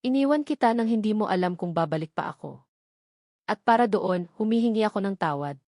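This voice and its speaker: A woman's voice: mid-range voice, lively intonation, brisk, mellow, no echo, studio quality, close to an everyday voice. A Filipino woman voicing an earnest, remorseful young prince who declares his love in an audiobook romance, pleading solemnly.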